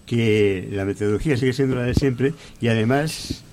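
A man's voice: medium pitch, normal speed, darkish tone, a little hiss or hum. A man in a group discussion who insists on his point and repeats phrases. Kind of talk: discussion about psychiatry.

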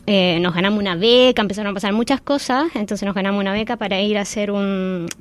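A Chilean woman talks as a guest on a podcast. She speaks in a hesitant, chatty way and fills her pauses often. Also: mid-range voice, steady tone. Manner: hesitant, conversational, many fillers. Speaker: guest, researcher. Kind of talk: podcast interview.

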